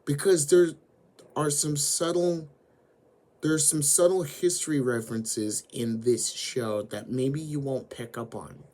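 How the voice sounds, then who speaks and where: Hoarse guy walking through an anime plot real slow, lots of "basically". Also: on the high side, steady tone, darkish tone. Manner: slow, deliberate storytelling with frequent fillers. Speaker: host. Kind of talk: anime retelling and commentary.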